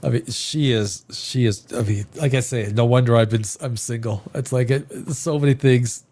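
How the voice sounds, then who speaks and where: A man's voice: mid-range voice, moderate pace, darkish tone. A man hosting a live reaction show, rambling and restarting his sentences, with a sniffly head cold. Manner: rambling, repeats words and restarts often.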